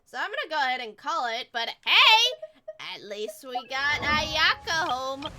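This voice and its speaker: A woman chatting casually and excitably as she streams a game, often thinking aloud and exclaiming. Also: high voice, measured pace, noisy background. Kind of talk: casual livestream gameplay chat. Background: video game music.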